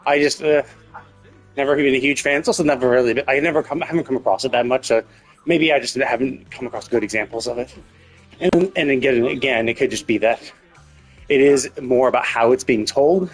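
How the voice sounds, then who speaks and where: A man's voice: medium pitch, fast talker. A man livestreaming a game with casual commentary, speaking bluntly, full of opinions and casual swearing. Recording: game music behind the voice. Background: video game music.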